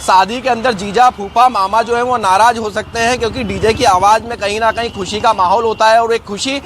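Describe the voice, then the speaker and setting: A man's voice: high-pitched, steady tone, fairly quick, fairly bright tone, slight background noise. A man giving a live news report as a field reporter.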